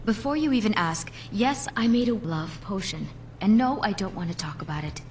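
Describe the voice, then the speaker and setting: An actress playing a bitter, jilted alchemist in a video game, with a clipped, haughty, wounded manner. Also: female voice, medium pitch, lively intonation, moderate pace, darkish tone, slight background noise, natural voice. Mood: curt, bitter. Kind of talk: scripted game dialogue.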